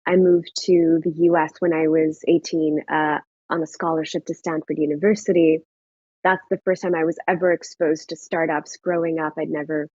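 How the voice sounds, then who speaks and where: A woman's voice: deep voice, darkish tone, husky, dry recording. A woman from Pakistan, a company founder, answering interview questions in an earnest, reflective way. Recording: dry, lo-fi remote audio.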